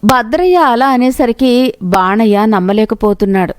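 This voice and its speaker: A woman narrates a moral tale from a script. Her voice sits a little high. The sound is dry, with very little room on it. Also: animated, normal speed, no room sound. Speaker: storyteller narrator.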